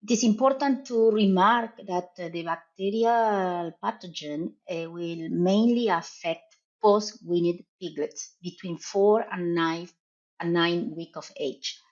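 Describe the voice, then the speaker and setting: A middle-aged woman from Argentina with a Spanish accent, a veterinary professor explaining her research in a podcast interview, measured and pausing often. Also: on the low side, a little mellow, highs cut off. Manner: measured, explanatory, with frequent "uh" pauses.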